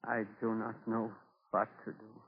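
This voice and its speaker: A man's voice: on the low side, monotone, measured pace, slightly rough. Solemn, homesick Native man, old radio. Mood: sorrowful resolve. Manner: solemn, simple formal phrasing. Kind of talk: 1940s radio drama acting. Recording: thin, narrow-band 1940s radio broadcast.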